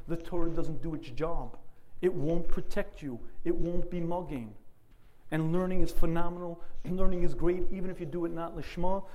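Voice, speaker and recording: A middle-aged man giving an earnest Torah lecture, clearing his throat often. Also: on the high side, warm tone, slight background noise, low-quality recording. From United States. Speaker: rabbi.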